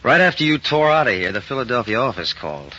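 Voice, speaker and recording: An actor playing a brisk, decisive FBI agent, clipped and businesslike, in a 1940s radio crime drama. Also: male voice, mid-range voice, sing-song, bright, forceful tone. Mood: businesslike urgency. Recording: dull 1940s radio recording.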